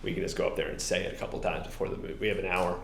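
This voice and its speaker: Man, committee member, very low gravelly voice, rambling, echoey room. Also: little pitch movement, racing. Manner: loud, eager, rambling. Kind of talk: public meeting discussion. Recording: echoey meeting room, faint noise.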